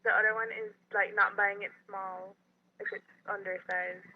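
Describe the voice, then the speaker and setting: A woman's voice: mid-range voice, flat delivery, normal speed. A Belizean woman calling in to a radio show over a muffled phone line. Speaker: phone-in caller.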